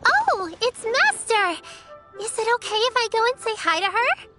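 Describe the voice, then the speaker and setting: A woman's voice: extremely high pitch, sing-song, normal speed. An actress playing a sweet, polite and caring little girl who speaks eagerly. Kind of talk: game cutscene voice acting. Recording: game audio with loud background music.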